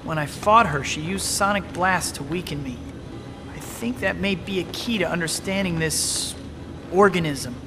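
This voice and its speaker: A young wisecracking superhero in a game cutscene. His voice sits fairly high for a male, with a cocky, sardonic and determined delivery. Music is clearly heard behind him. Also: moderate pace. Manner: quippy, sardonic. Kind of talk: video game cutscene acting.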